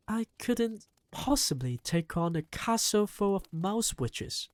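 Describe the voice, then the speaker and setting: A reader voicing an excitable young boy in an audiobook, talking eagerly and shouting with excitement. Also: medium pitch, slow, no room sound, voice pushed up. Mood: earnest. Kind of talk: audiobook character reading.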